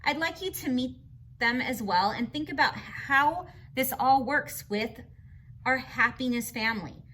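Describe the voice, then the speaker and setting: Female teacher for a children's read-aloud: high, bright voice, simple instructive phrasing, question-and-explain delivery. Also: unhurried. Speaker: teacher reading a picture book aloud to children.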